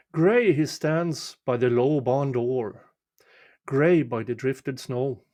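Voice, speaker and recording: A man reading a folk poem aloud as a storyteller, measured and deliberate, pausing between short phrases. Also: medium pitch, darkish tone. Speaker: amateur reader of a poem. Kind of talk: slow, dramatic storytelling recitation of a winter folk poem.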